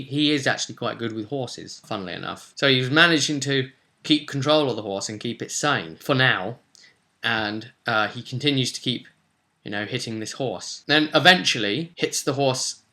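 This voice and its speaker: A man's voice: mid-range voice, bright, forceful tone. A man, casually recounting a tabletop roleplay session as game master in a rambling, colloquial way.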